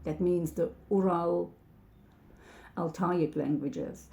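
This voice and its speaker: A woman whose first language is Turkish speaks slowly and haltingly, often pausing on ums and starting over. Her voice is dark and gravelly. The room around her sounds echoey. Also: fairly low pitch, slight background noise. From Turkey. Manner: hesitant, many ums and restarts. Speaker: channel host. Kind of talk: casual talk to camera.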